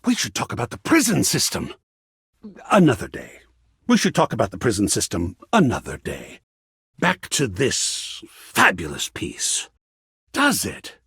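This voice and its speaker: An actor playing an excitable, kindly, philosophical art gallery curator in a video game, speaking in a florid, theatrical way that savors words. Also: male voice, mid-range voice, unhurried, slightly rough, no echo, studio quality, full character voice. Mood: musing, then delighted, amused. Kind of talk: theatrical game character dialogue.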